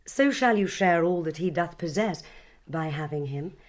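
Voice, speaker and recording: Actress as bossy noble mum in shakespeare radio play, lowish voice, formal and composed. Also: female voice, animated, normal speed, close to an everyday voice. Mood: persuasive. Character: poised, commanding noblewoman mother, formal and brisk. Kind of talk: Shakespearean audio drama acting.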